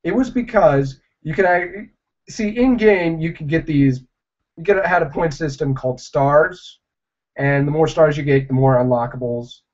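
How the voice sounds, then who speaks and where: A young man chatting casually on a livestream, answering viewers in a rambling, halting way with many fillers. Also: a little high, a little mellow. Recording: dull lo-fi livestream audio.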